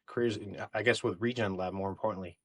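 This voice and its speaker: A man's voice: on the low side, steady tone, fast, a bit bright, slightly rough. A man hosting a podcast interview, introducing his guest and asking questions eagerly, leaning on fillers. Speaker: podcast host.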